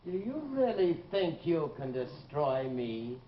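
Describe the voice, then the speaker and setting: A man's voice: on the high side, big pitch swings, takes it slowly, a little hiss or hum. An actor playing a sinister faceless monster of a man's soul, menacing and taunting, in old radio horror style. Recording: muffled old radio recording, music behind.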